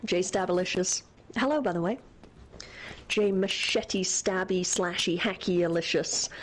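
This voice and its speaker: A woman's voice: on the low side, measured pace, a little hiss or hum, rough recording. A young woman co-hosting a game stream, chatting casually and reading out viewer messages with lots of laughs and fillers. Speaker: streamer co-host. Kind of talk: casual let's-play chat, reading chat messages.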